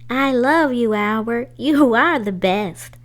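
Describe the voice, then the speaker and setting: A woman plays an affectionate young schoolboy from a storybook. The voice is high and unhurried, with big swings in pitch. The manner is earnest and gushing. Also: lively intonation. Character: sweet, affectionate young schoolboy, proud of his brother.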